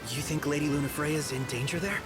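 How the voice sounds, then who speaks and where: A man's voice: on the high side, steady tone, brisk, close to an everyday voice. An actor playing an eager, chatty young man, a travelling companion in a video game. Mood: curious. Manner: eager, informal. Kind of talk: video game cutscene acting. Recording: loud music behind the voice.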